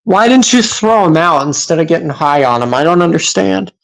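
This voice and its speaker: A woman's voice: deep voice, lively intonation, fairly quick, low-quality recording. Sarcastic woman giving livestream commentary. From Massachusetts. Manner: sarcastic, scolding, hesitant with fillers. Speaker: host reacting to clips.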